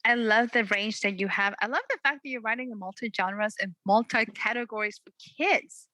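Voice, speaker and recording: A woman's voice: on the high side, normal speed, sharp tone, dry recording. A woman hosting an author interview, with upbeat enthusiasm. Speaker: interview host.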